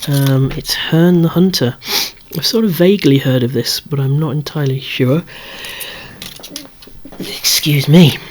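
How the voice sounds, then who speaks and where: A softly whispering collector presenting a toy collection ASMR-style, in a rambling, chatty way with lightly wry asides. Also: medium pitch, a little slow, slight background noise. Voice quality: breathy, hushed whisper.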